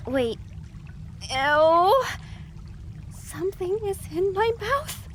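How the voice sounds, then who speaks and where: A woman's voice: very high voice, big pitch swings, very slow, a little hiss or hum, heavily acted. A woman voicing a young female pony in an audio drama, wry, sarcastic and exasperated. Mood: disgusted.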